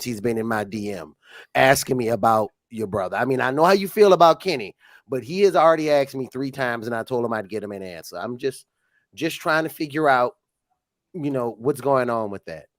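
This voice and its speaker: A man's voice: medium pitch, animated, a little fast, no echo. Stammering man, dry close sound. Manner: stammering, nervous restarts, joking. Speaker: wrestling referee and co-host. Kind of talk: casual podcast chat.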